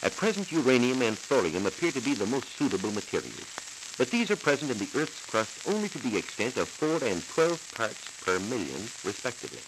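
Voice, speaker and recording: An American man, a science reporter, explaining in a measured, formal, didactic way from a script on 1940s educational radio, on a hissy old transcription disc. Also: medium pitch, very animated. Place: radio studio.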